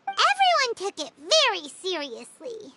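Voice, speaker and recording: A woman voicing a tiny, wide-eyed, childlike companion with a squeaky voice in a video game. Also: huge pitch swings, a little slow, heavy background noise, full character voice. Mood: amazed. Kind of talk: English video game voice acting.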